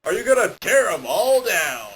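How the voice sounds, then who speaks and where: A frantic puppet salesman with a glitchy, erratic delivery, as a man acts him out. His fairly high voice leaps wildly up and down. A faint hiss sits behind the recording. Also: sing-song, moderate pace. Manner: erratic, jumping from pleading to shouting.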